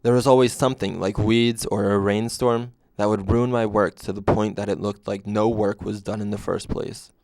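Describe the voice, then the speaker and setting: A man narrating a scripted first-person story in a deadpan way. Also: fairly low pitch, normal speed, gravelly, hardly any pauses, no echo.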